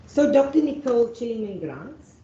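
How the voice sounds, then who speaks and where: A woman's voice: fairly high pitch, very animated, moderate pace, mellow, a little hiss or hum. Afrikaans-speaking woman, echoey hall. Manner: reads prepared remarks, frequent restarts. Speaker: panel facilitator. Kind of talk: formal speech and speaker introductions. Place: university lecture venue. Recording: echoey hall, dull sound.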